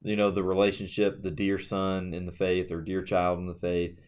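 A man with a low voice co-hosts a podcast. He talks casually, as in an easy discussion between two people. His phrasing is informal and chatty. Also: completely flat delivery, moderate pace, darkish tone, clear, resonant tone. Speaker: podcast co-host.

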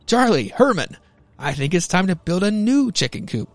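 Man playing ambitious restaurant boss, raised pitch. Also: very animated, normal speed, dry recording. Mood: grandly ambitious. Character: ambitious restaurant owner man, grandly scheming.

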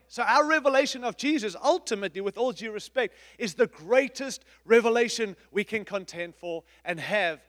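A middle-aged man, a pastor, preaching a sermon in an emphatic, repetitive style with big swings in loudness. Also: high-pitched, long reverb tail. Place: church.

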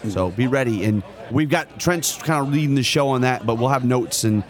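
A man hosting a podcast, interviewing a guest in a quick, chatty and eager way. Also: medium pitch. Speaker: podcast host. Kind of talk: casual interview. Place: convention hall.

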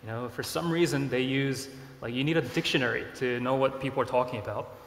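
A man in his thirties, originally from Korea, preaching a sermon in a relaxed, conversational way with self-deprecating asides. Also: medium pitch, fairly quick, slight background noise. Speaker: pastor. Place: church sanctuary. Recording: echoey church hall.

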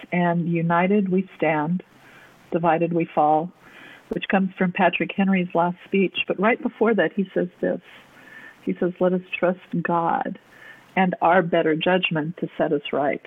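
Husky-voiced woman preaching, tinny sound. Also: on the low side. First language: German. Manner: earnest storytelling, repeats words for emphasis. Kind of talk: personal testimony sermon. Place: church, at a podium. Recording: tinny phone-like audio.

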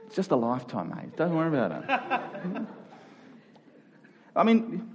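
This is an Australian man preaching live to a congregation. His voice sits a little higher than most men's. He keeps the sermon conversational and informal, putting rhetorical questions to his listeners and joking with them. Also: wildly swooping pitch, measured pace, highs cut off.

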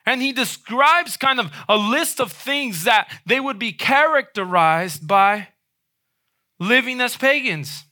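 A man preaching a sermon in a conversational way, often checking in with a quick "right?". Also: very high voice, lively intonation, pushed and bright. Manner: conversational, frequent "right?" tag questions.

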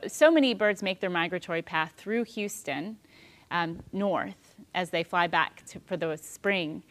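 A woman in her thirties giving a calm, thoughtful spiritual talk on prayer, with soft pauses. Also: mid-range voice.

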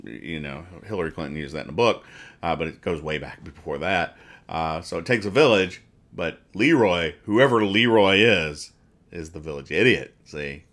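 A man with a fairly low voice hosts a casual podcast about comic strips. He talks through each one in a rambling way, explaining it with mock earnestness. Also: animated, moderate pace, fairly bright tone. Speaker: podcast host.

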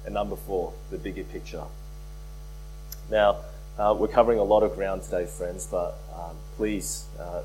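Male pastor, lowish voice, hoarse with a cold, earnest sermon delivery that repeats key phrases, faint mains hum. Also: darkish tone, low-quality recording. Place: church.

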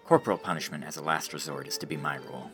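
A man voicing a diplomatic, hesitant young male teacher in an audiobook, speaking in a measured, hedging way. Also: rumbling low, soft background music. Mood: torn, conciliatory. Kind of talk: audiobook character dialogue.

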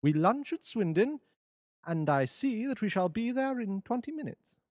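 A man reading an audiobook, voicing a calm, brilliant detective who talks in a measured, lofty, amused way. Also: high voice, dry recording, studio quality, voice pushed up. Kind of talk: audiobook character voice.

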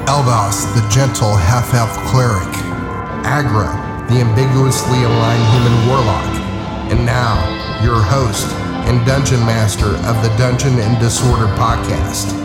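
Male announcer, mock-epic fantasy intro, dramatic scripted read, roomy sound, loud music behind. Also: mid-range voice, fairly even intonation, unhurried. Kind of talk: dramatic scripted fantasy narration with comic asides.

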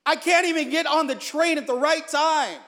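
A man acts out an upset young grandson in a spoken story. The voice rides high, pleading and exclaiming, with long reverb trailing after it. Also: moderate pace, fairly bright tone. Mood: defeated, upset. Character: frantic, frustrated orphaned schoolboy grandson venting to grandfather.

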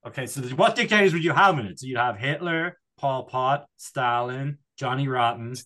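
Animated rambling man bantering. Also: mid-range voice, normal speed. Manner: rambling, digressive, jokey. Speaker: podcast co-host. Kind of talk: casual comedy banter.

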